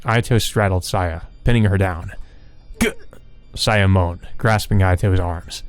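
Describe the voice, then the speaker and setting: A man's voice: deep voice, fairly even intonation, unhurried, clear, resonant tone, soft background music. A man reading visual novel story text aloud as narration for a let's play.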